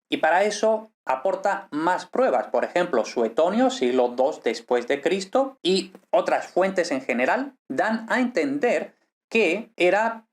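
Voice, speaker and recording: Emphatic man lecturing. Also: on the high side. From Spain. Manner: emphatic, scornful of bad arguments. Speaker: philologist and educational video host. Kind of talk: prepared explanatory video lecture.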